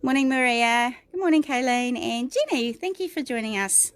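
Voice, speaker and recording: A middle-aged woman with a New Zealand accent, croaky this morning, chatting through a livestream craft tutorial and greeting viewers by name. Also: a little high, animated, moderate pace, no room sound. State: croaky, clearing her throat.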